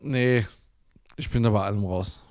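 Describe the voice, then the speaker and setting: A man's voice: on the low side, moderate pace, darkish tone. A man co-hosting a podcast, chatting casually in a blunt, colloquial way. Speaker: podcast co-host. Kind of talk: casual chat.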